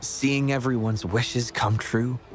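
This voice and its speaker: A man playing a gentle, modest young scientist in a video game, speaking in a polite, measured, reassuring way. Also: medium pitch, steady tone, music in the background, natural voice. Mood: polite, warm. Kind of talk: video game character acting.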